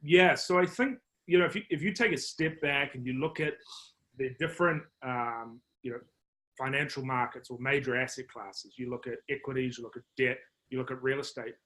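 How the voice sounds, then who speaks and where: A man with a New Zealand accent, speaking at a middle pitch and explaining his business at length. He talks in a measured way, with plenty of ums and restarts, as a podcast guest. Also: sharp tone. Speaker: marketing executive guest.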